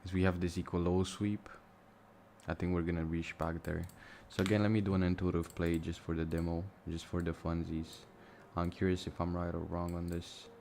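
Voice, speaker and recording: A young man with a Romanian accent talks loosely and off the cuff, thinking aloud with the odd curse. His voice sits very low. A faint noise hums behind him. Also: level tone, normal speed, vocal fry, darkish tone. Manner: casual, thinking aloud, swears freely. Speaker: live-streaming trader. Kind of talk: casual live-stream commentary. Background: music and mouse clicks.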